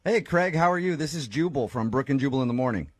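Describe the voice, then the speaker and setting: A man's voice: mid-range voice, lively intonation, quick pace, no room sound. A man hosting a morning radio show, chatting with a caller in a casual, teasing way and often breaking into laughter. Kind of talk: casual morning radio chat on a phone call. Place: radio studio. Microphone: studio microphone.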